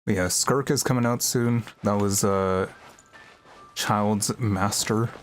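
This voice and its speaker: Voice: mid-range voice, fairly even intonation, slow pace. A game streamer chatting casually and musing aloud in a rambling, self-deprecating way during a livestream. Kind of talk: casual livestream gameplay chat. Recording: music playing behind the voice.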